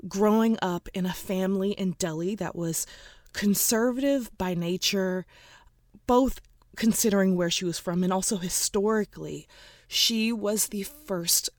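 A woman in her thirties hosting a podcast, talking casually and brightly with fillers. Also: medium pitch, no room sound, studio quality. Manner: bubbly, chatty, with laughs and fillers. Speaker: podcast host. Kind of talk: casual interview chat.

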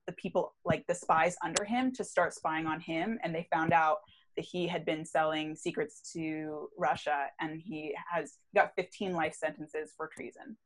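Low-voiced chatty woman podcaster. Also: steady tone, moderate pace, poor audio. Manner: chatty, filler-heavy with frequent "like". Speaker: podcast co-host. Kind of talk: casual chat.

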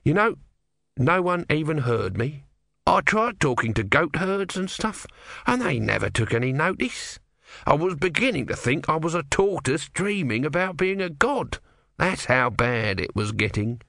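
A man's voice: fairly high pitch, big pitch swings, measured pace, creaky, a bit bright, no echo, clean studio sound, pitched above the performer's own voice, full character voice. A man voicing a grumpy small god trapped as a tortoise, evasive and sardonic, in a storybook reading. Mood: wretched.

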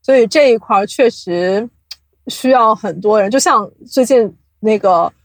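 A woman's voice: on the high side, a little slow, no echo. A woman speaking casually as an interview guest, thinking aloud and explaining how her industry works. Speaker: guest, audio drama producer and company chief. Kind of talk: casual interview talk about the audio drama industry.

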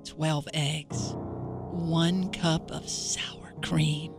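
A woman's voice: low-pitched, level tone, very slow, vocal fry. Lady doing mock dramatic radio read, very drawn out, music behind. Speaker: morning radio show crew member. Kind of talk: mock-dramatic reading of a recipe. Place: radio studio. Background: music under the voice.

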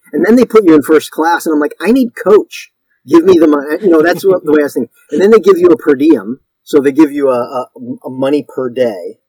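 A man's voice: on the high side, dark tone, slightly rough, no room sound, rough recording. A man in his fifties from Connecticut, a guest actor telling stories in a casual interview, rambling and self-deprecating with many restarts.

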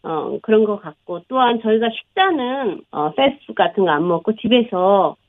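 A woman's voice: mid-range voice, animated, normal speed, no echo. A woman in her sixties, a marathon runner, talking as a guest in a radio interview, explaining earnestly with frequent fillers. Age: 60s. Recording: tinny phone line.

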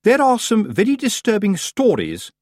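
A man's voice: high-pitched, huge pitch swings, normal speed, no room sound, voice pushed up, exaggerated voice. A man voicing a haughty, formal female witch with an affected, over-polite and pompous manner in a storybook reading. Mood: pompous, grave.

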